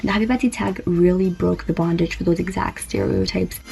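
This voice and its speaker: Young woman with a creaky, lower voice. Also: fairly even intonation, fairly quick, music in the background. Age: young adult. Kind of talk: documentary interview.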